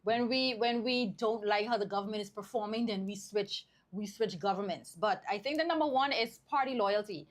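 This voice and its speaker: A woman's voice: medium pitch, normal speed, hardly any pauses. Woman co-hosting, thinking aloud. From Bahamas. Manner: thinks aloud, hesitant restarts. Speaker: co-host. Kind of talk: casual talk show chat.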